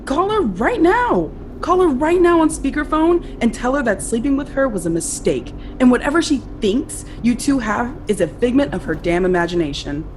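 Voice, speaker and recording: Hurt, demanding lover, lively high voice. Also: female voice, normal speed, hardly any pauses, slight background noise. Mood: indignant, demanding. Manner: indignant, insistent, emotionally guarded. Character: hurt, demanding younger woman in love with brother's best friend. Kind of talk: contemporary audio drama acting. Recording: music under the voice.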